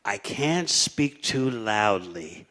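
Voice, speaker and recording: A man voicing a mighty male god who lowers his voice to share a secret, speaking softly and slowly in a confiding way. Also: lively intonation, full character voice. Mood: hushed, confiding. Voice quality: hushed, lowered voice.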